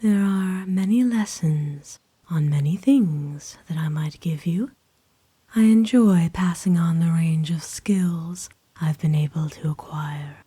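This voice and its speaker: A woman voicing a kind, doting aristocratic vampire in an ASMR roleplay, speaking formally and tenderly in a soft, breathy whisper. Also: fairly low pitch, no room sound, full character voice. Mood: gentle, explaining. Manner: formal, genteel, tender, unhurried. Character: kind, doting aristocratic female vampire owner, gently possessive.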